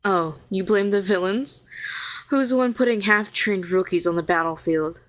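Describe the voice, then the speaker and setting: A woman's voice: medium pitch, moderate pace, close to an everyday voice. A woman playing a telepathic villain, a former hero who is sardonic yet tenderly protective, in an intimate audio roleplay. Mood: scornful, cutting. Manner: sharp and sardonic, turning soothing and coaxing.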